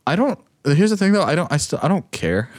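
A man's voice: on the high side, very animated, fast, no room sound, studio quality. A young American man in casual conversation, speaking bluntly and offhandedly. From United States. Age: young adult. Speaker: podcast co-host. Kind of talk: casual chat.

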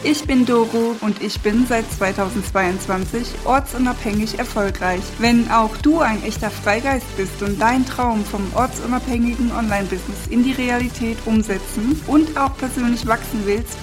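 A woman's voice: a little high, moderate pace, few pauses, music in the background. A woman hosting a podcast, speaking in an upbeat presenter style as she introduces and interviews a guest.

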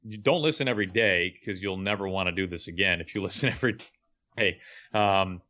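Deep-voiced young guy, radio broadcaster, earnest storyteller, kinda dull lo-fi audio. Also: fairly quick, bright, forceful tone. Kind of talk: interview guest.

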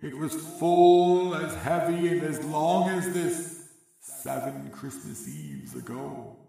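A man voicing a doleful, weary ghost of an old man, slow and mournful, with a heavy ghostly reverb effect. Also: a little high, very animated, vocal fry, dark tone, faint music behind, voice pushed up, full character voice. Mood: grave. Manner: slow, mournful, hollow intoning. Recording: echoing reverb, distant from mic.

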